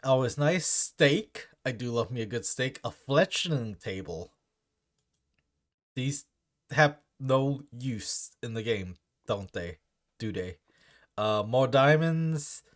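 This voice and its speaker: A young man with a deep voice chatting casually while opening gifts. He stammers and restarts his words often. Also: animated. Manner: stammering, repeats words, halting. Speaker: Minecraft content creator.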